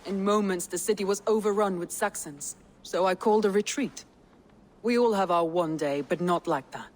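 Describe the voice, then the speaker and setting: A woman voicing a proud, wary Viking clan leader in a video game, with deliberate, solemn delivery. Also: mid-range voice, a little mellow, close to an everyday voice. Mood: sombre. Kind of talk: cinematic video game acting.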